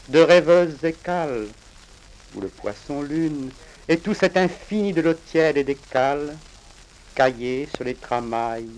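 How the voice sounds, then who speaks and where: A man from Reunion Island reciting his own poems in a solemn, measured, declamatory way with deliberate pauses, on a crackly vinyl record. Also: on the high side, a little mellow. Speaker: poet reading own work.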